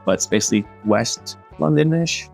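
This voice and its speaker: A man's voice: mid-range voice, lively intonation, normal speed, vocal fry, faint music behind. A young man in his mid-twenties co-hosting a casual podcast, talking hesitantly with lots of fillers and frequent laughs. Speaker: podcast co-host.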